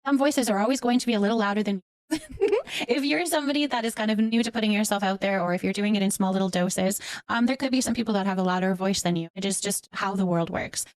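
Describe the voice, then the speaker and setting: Woman, Canadian accent, very quick pace, dry recording, casual livestream chat, affectionate, encouraging. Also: mid-range voice, dry recording. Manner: affectionate, encouraging, addresses listeners with endearments. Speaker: livestream host. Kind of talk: casual livestream chat, reading and answering viewer comments.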